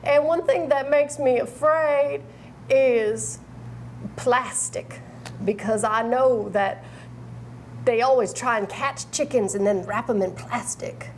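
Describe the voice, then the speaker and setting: A woman voicing a cheerful, chatty chicken puppet from Texas in a slow, sing-song, playful way. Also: fairly high pitch, slight background noise, rough recording.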